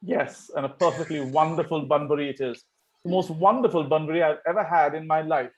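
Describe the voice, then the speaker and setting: A man playing a breezy, flippant young Victorian gentleman, glib and formal, in an amateur stage comedy table read. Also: a little high, a little fast, close to an everyday voice, poor audio. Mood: flippant, pleased.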